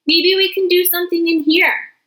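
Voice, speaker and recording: A woman voicing an angry, upset young boy in a storybook, shouting and pleading. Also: very high-pitched, fairly even intonation, normal speed, pitched above the performer's own voice. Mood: worried.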